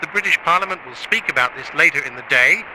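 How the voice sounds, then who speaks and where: A man's voice: on the high side, lively intonation, quick pace. A man reading a scripted urgent public announcement as an emergency broadcast announcer, in a noisy, hissy recording.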